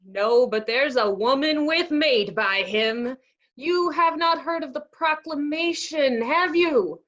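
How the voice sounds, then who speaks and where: A woman's voice: high voice, slow, a bit bright, lo-fi sound. A woman playing a cheeky, streetwise male servant in a staged Shakespeare comedy reading, with jesting patter.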